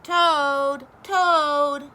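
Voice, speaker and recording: A woman voicing an eager, cheerful male frog in a storybook, with high, loud calling. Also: fairly even intonation, very slow, heavily acted.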